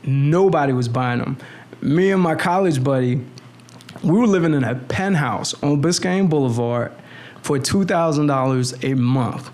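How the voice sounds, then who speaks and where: A man's voice: medium pitch, moderate pace, darkish tone. A man in his thirties chatting casually as a guest, slangy and rambling, often restarting his sentences. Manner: slangy, rambling, lots of restarts and "you know what I'm saying". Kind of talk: casual conversation.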